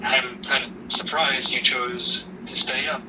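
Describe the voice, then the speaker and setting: Father role male actor, audio drama: mid-pitched, unhurried and halting, concerned, protective, naturalistic, set back from the mic. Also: level tone, recorded from a distance, noisy background, close to an everyday voice. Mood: gently probing. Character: caring, probing father, gently protective.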